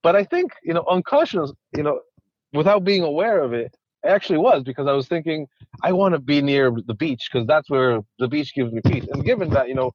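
A man's voice: on the high side, expressive, fairly quick, no echo, dull audio. A man from Ecuador, a conservationist guest, talking casually in an interview with many restarts and repeated words.

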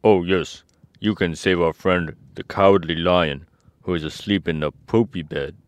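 A man's voice: low voice, steady tone, normal speed, no echo, lightly acted. A man voicing an eager, helpful straw scarecrow from a storybook, earnest and persuasive in manner. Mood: eager, reassuring. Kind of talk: storybook character voice.

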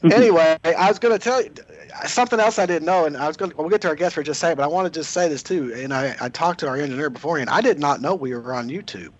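Slightly hoarse radio host. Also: male voice, fairly high pitch, fast talker. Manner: rambling, self-correcting, chatty. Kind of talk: casual radio talk. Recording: slightly dull lo-fi radio audio.

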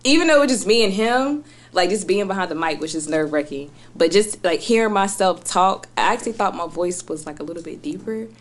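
A woman guest telling her story in a casual interview, chatty and relaxed, often trailing off quietly. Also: on the low side, fairly quick. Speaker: guest rapper.

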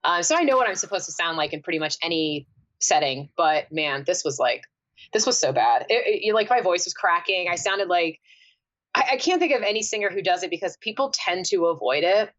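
A woman, a singer, chatting casually on a podcast, telling animated stories and laughing often. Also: fairly low pitch, a little fast, dull audio. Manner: animated storyteller, laughs often, many fillers.

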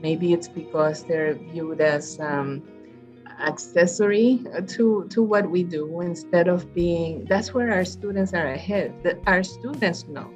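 Woman from Puerto Rico, interview guest, lowish voice, rambling delivery full of fillers. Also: measured pace, faint music behind. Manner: rambling, with many fillers and restarts. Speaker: guest, public health researcher. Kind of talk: conversational interview.

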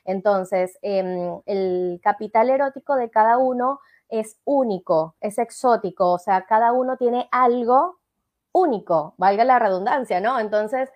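Venezuelan woman, coach guest in an interview; higher register, chatty explainer with "¿no?" tags. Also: unhurried, a little mellow. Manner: explanatory, many fillers and tag questions. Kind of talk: interview conversation.

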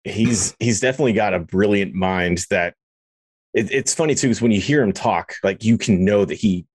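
A man's voice: fairly low pitch, moderate pace, a little mellow, breathy edge, no echo. A man hosting a podcast in a casual interview style, chatty and enthusiastic. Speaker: podcast host.

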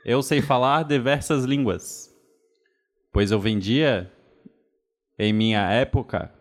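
A Brazilian man doing a boastful 1800s encyclopedia peddler who brags about his own genius. He stammers and restarts as he pitches himself, with a Brazilian accent and a middle-pitched, ordinary male voice. The tone is improv comedy. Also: takes it slowly, lightly acted. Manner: boastful, stammering fake-British salesman patter.

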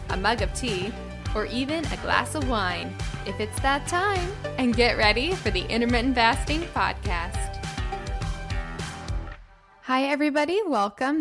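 Bubbly woman hosting a podcast. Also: fairly high pitch. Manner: bubbly, laughs often, many fillers. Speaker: podcast host. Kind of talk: casual podcast chat.

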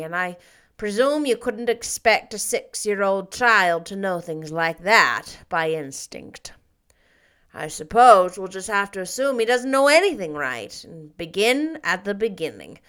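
A stern, practical older woman from a storybook, measured and a little grim as she talks over a troublesome child. Her voice is bright yet plain, a woman reading the role. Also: mid-range voice, animated, smooth. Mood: weary.

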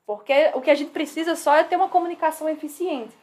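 A woman's voice: sits high, fast talker, a little mellow. A young woman with a Northeastern Brazilian accent, chatting earnestly and animatedly about her studies. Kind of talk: casual chat.

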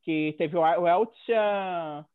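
A man in his thirties with a Brazilian accent, chatting in an animated, colloquial way in a group. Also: fairly high pitch, slow, smooth, no echo, phone-quality sound. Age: 30s. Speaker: podcast panelist. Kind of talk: casual group chat.

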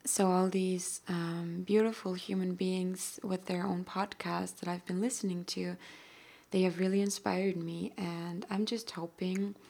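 A young Austrian woman talks slowly through her own podcast, her voice sitting a little low for a woman. She speaks with an Austrian German accent and rambles gently, with soft laughs and plenty of "um"s. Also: flat delivery, vocal fry. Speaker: podcast host. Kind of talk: casual solo podcast monologue.

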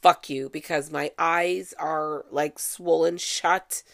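Low-voiced chatty woman, stuffy and nasal. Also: steady tone, slow pace, creaky. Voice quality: nasal from allergies. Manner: chatty, blunt, swears freely. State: congested. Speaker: podcast host. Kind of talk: casual solo podcast chat.

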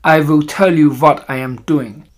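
A man's voice: a little high, fairly even intonation, natural voice. Man putting on an imperious, malevolent witch. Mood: sneering. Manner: slow, emphatic, broken grammar. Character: imperious, malevolent female Grand High Witch plotting against children.

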